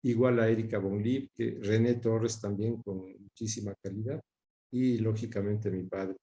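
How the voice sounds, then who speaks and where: A man's voice: on the low side, steady tone, unhurried, dark tone, muffled recording. A man with an Ecuadorian accent reminiscing as an interview guest, hesitating as he searches for names. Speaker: interview guest recalling his father.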